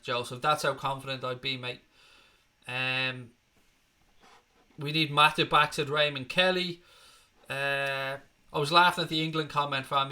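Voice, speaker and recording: A young man with an Irish accent talks through viewer comments on a live stream in a loose, blunt, chatty way, dropping the odd swear word. His voice has a very bright, forceful edge that cuts through. The pitch sits in an ordinary middle range. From Ireland. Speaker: fan channel host.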